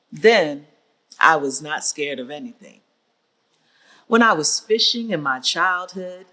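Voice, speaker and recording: An actress performing a fearless older country woman's memories in a staged reading, plainly and with measured storytelling. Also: on the low side, pushed and bright, heavily acted. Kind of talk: staged reading of oral history recollections.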